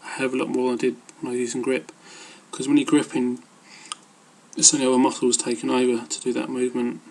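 Flat, gravelly man rambling casually. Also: medium pitch, fast, poor audio. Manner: hesitant, loosely worded. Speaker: amateur fitness vlogger. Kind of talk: casual rambling advice talk.

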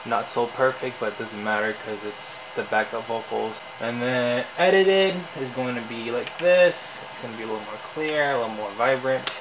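A young man with a bassy voice explains his home music setup in a loose, slangy, self-deprecating way. He is recorded on a desk camera mic in a small room, and a steady air-conditioner hum sits behind him. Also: normal speed, few pauses. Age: young adult. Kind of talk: casual screen tutorial.

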